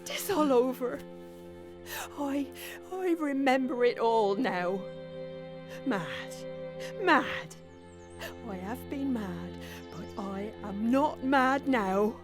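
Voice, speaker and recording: A woman's voice: fairly high pitch, lively intonation, drawn-out words, music in the background. A woman playing an anguished, grief-mad mother in a Victorian melodrama, declaiming every line. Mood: bitter cursing. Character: grief-mad mother cursing her daughter's murderer. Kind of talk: Victorian melodrama audio acting.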